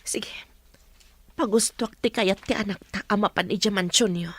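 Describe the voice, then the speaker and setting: A woman's voice: mid-range voice, steady tone, normal speed, breathy edge, natural voice. A woman playing a hesitant, guarded young mother in an audio drama. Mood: reluctant.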